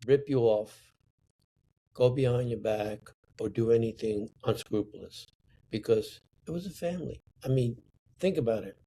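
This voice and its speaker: An older man from Sicily, telling stories from his life slowly and with emphasis. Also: medium pitch, dark tone, slight background noise, poor audio. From Sicily, Italy. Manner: slow, deliberate, emphatic storytelling. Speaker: interview guest, artist. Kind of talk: reflective storytelling.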